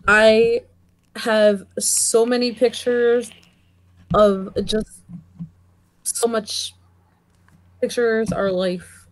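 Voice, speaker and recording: A laid-back woman in her twenties co-hosts a casual podcast chat. She talks slowly and calmly, and her voice sits a little high. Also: poor audio. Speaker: podcast co-host.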